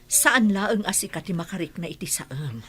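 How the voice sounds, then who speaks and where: A woman playing a consoling older aunt in a radio drama, with a soft, motherly manner. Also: on the low side, expressive, normal speed, slightly rough.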